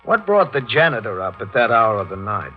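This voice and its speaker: An actor playing a probing, no-nonsense district attorney in a 1940s radio drama, with a brisk, pointed manner. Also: male voice, lowish voice, very animated, narrow, tinny audio. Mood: businesslike. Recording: band-limited 1940s radio recording with music.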